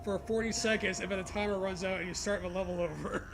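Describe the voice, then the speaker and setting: A man's voice: high voice, steady tone, fast. A man bantering casually as a co-host, goofy, profane and mocking in his jokes. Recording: music behind the voice. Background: music.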